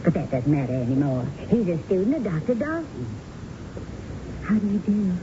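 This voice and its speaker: A restless, chatty older Southern wife in a radio play, with a fairly low voice. She rambles fussily and stretches her words. The old broadcast recording is muffled and noisy. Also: very animated. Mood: chatty, curious. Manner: rambling, complaining, drawn-out words. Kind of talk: 1970s radio drama acting.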